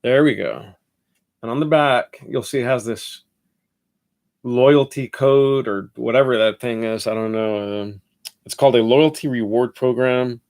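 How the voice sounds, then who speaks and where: A man's voice: medium pitch, a little slow, a little mellow. A man with a nasal voice, congested from a head cold, chatting through a casual fragrance review in a rambling, self-correcting way.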